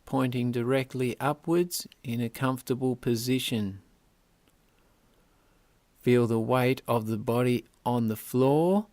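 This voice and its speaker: Guy doing yoga nidra instructions, really slow and deliberate, dry recording no room echo. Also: mid-range voice, darkish tone. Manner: measured, deliberate, short instructional phrases. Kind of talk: guided relaxation meditation instruction.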